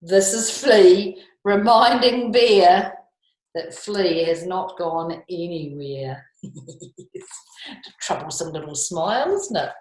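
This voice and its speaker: Expressive New Zealand woman reading stories, echoey room. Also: lowish voice. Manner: expressive, sing-song, drawn-out words for young listeners. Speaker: storytime reader for young children. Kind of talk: reading picture books aloud with chatty asides.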